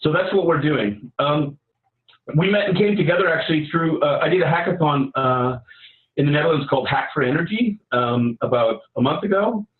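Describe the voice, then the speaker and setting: A man with a Canadian accent giving an informal project pitch in a conversational, somewhat halting way. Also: phone-quality sound. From Vancouver, Canada. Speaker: editor and hackathon participant pitching a project. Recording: roomy, echoey sound.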